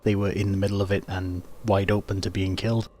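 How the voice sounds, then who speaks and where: A man giving casual, plain-spoken game commentary, explaining his moves in an unhurried way. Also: sits low, little pitch movement, darkish tone. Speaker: gaming video host. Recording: faint background noise.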